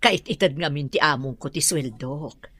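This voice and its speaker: A woman's voice: sits low, normal speed, a bit bright, slightly rough, no echo. A woman acting a humble, long-suffering older housemaid and mother in an Ilocano radio drama. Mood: weary.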